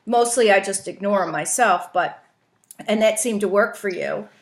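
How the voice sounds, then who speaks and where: A woman's voice: mid-range voice, normal speed. A woman giving informal instruction on a conference call, explaining step by step and often checking for understanding. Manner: explanatory, often checks in with "right?" and "you know". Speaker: trainer, facilitator teaching a coaching tool. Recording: roomy, echoing conference call audio.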